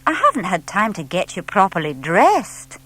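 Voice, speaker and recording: A woman's voice: medium pitch, normal speed. A woman voicing a friendly young lady artist who explains things patiently and enthusiastically in a children's audiobook. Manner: animated, explaining gently to a small child.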